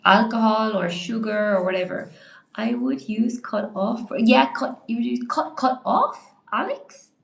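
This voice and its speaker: A young woman teaching a live online English lesson, a little hoarse, praising viewers by name as she explains. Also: fairly high pitch, expressive, measured pace, roomy sound, poor audio. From Ireland.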